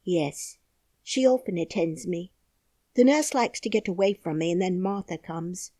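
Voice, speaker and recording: A woman voicing a shy young boy lying in bed, speaking softly. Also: fairly low pitch, expressive, a little slow, no echo. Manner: shy and drowsy.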